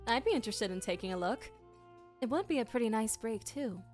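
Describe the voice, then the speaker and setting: A woman's voice: a little high, expressive, brisk, faint music behind. A woman streamer casually reacting to a game and playfully talking back to it.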